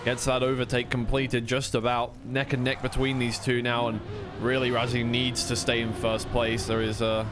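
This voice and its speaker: A man's voice: mid-range voice, completely flat delivery, moderate pace. A man giving live esports racing commentary in a conversational way, often second-guessing himself. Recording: clear background noise of vehicle sounds.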